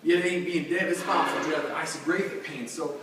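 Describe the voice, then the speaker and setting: A man's voice: fairly high pitch, steady tone, a bit bright, lightly acted. A man voicing a freezing, dying gold prospector from a ballad, with a pleading manner. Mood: plaintive, pleading. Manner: pleading, halting moan. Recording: very echoey, distant, noisy room.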